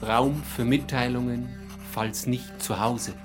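An actor playing a self-important bohemian artist, a declaiming individualist, in a literary radio drama. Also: male voice, medium pitch, fairly even intonation, takes it slowly, over music, close to an everyday voice. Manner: pompous, declamatory.

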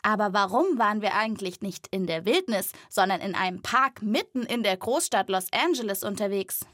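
A woman hosting a children's podcast, speaking to young listeners directly and chattily in lively scripted narration. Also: mid-range voice, normal speed, dry recording, clean studio sound.

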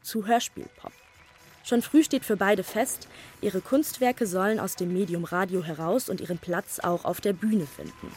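A woman reading a scripted radio feature narration in a measured, polished way over faint music. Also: mid-range voice, darkish tone.

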